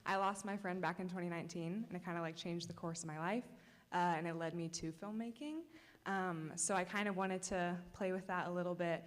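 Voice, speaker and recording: A woman's voice: on the low side, steady tone, brisk. A woman filmmaker speaking earnestly and a little nervously at a festival Q&A on a theater stage. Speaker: filmmaker guest. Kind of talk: answering questions at a festival Q&A. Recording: reverberant hall with audience.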